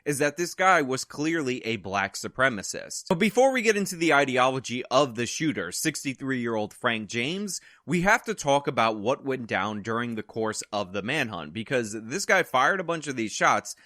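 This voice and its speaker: A man delivers opinionated news commentary to camera, indignant and emphatic, stringing together long, wordy sentences. His voice sits at an ordinary middle pitch. The sound is dry and close, with no room echo. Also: big pitch swings, moderate pace, hardly any pauses, sharp tone. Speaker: political commentary YouTuber.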